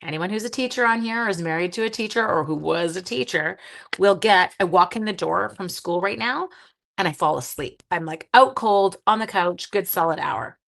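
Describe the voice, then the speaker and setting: A woman's voice: lowish voice, normal speed, vocal fry, fairly bright tone. A sleepy, groggy woman hosting a casual crafting live stream, chatting with viewers as she works and making playful little sound effects. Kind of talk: casual crafting demo with chat.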